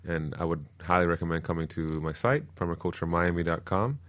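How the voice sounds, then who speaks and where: Man, deep low voice, earnest, informative, radio guest, explanatory talk. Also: a little fast, fairly bright tone. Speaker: permaculture teacher, radio show guest.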